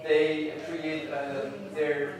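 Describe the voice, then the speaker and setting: A man's voice: fairly high pitch, monotone, drawn-out words, crowd noise. A man from French-speaking Switzerland with a Swiss French accent, giving a design lecture. He talks hesitantly, with many fillers and restarts. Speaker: guest speaker, graphic designer. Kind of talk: lecture with slides. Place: lecture hall. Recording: echoey hall, far from the mic, with an audience.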